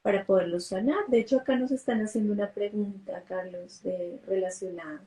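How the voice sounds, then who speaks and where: Woman, psychologist, breathy husky tone, calm measured delivery, online call. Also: mid-range voice, rough recording. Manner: calm, measured, reads audience questions. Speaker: psychologist co-host. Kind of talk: live online talk. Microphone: video call.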